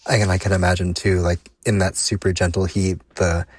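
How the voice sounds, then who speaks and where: Deep, husky-voiced male radio host. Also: flat delivery, moderate pace, no echo, clean studio sound. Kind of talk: radio interview.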